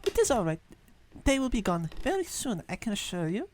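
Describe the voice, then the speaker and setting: A woman's voice: fairly high pitch, sing-song, a little fast, a little mellow, pitched above the performer's own voice. A woman voicing a poised, politely commanding snake-bodied adventurer in a tabletop game, with formal phrasing and questioning hums. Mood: soothing.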